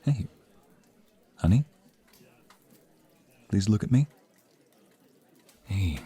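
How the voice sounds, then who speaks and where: A man's voice: on the low side, expressive, very slow, dark tone, husky, exaggerated voice. A man playing a protective mobster's son in an ASMR roleplay drama, intimate and earnest, able to turn menacing. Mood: tender, worried. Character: protective young mobster's son, tender lover, menacing when threatened.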